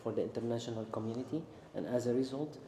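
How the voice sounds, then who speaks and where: An earnest male guide explains sights to a visitor, pausing on fillers as he goes. He speaks outdoors with a mid-range pitch, and the sound is roomy, with clear traffic and ambient noise behind. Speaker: local guide. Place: outdoors on a hilltop in a city.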